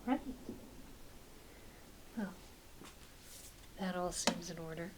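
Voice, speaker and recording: A woman playing a gentle, reassuring doctor in an ASMR exam roleplay, speaking softly and hesitantly, often half-whispering. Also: on the low side, slow pace. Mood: calm, reassuring. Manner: soft, half-whispered, hesitant, apologetic bedside talk. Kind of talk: soft-spoken ASMR medical exam roleplay. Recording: faint steady hiss behind close voice.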